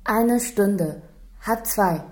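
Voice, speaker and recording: A woman's voice: medium pitch, extremely slow, a little mellow. An actress playing a reticent Chinese cleaning woman with a Chinese accent, answering in short broken phrases in a radio crime drama. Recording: echoey room.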